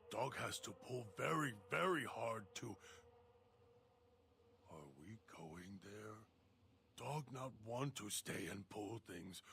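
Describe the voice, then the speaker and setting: A man's voice: lowish voice, gravelly, a bit bright, slight background noise, heavily acted. A man voicing a feral, broken-minded servant who calls himself Dog and talks of himself in broken third person. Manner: halting, childlike, fearful of an inner voice.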